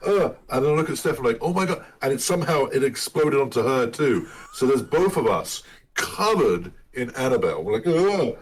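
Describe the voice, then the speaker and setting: A man co-hosting a casual chat about being a dad, telling funny stories in an animated way. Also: mid-range voice, fairly quick, breathy edge, poor audio. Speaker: podcast co-host. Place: lives in Michigan.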